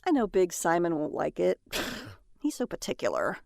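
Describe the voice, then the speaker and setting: A woman's voice: fairly low pitch, wildly swooping pitch, moderate pace, gravelly, darkish tone, no room sound, clean studio sound, exaggerated voice. An actress playing a stressed mother and trucker's wife in an audio drama, by turns snappish and chatty. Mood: friendly, chatty. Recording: faint background noise.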